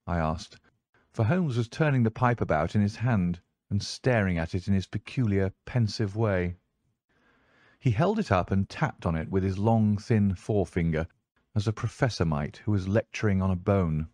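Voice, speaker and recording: A man narrates a literary audiobook in a formal, measured way. His voice sits fairly low, and the recording is dry with little room around it. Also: animated, mellow, no echo.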